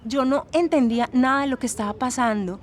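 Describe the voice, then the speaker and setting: A woman's voice: sits high, fairly quick, slight background noise, natural voice. A woman playing a troubled young student, giving earnest, conversational testimony in an audio documentary drama. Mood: troubled, puzzled. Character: troubled young female student witness, sympathetic. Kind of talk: casual acted documentary testimony.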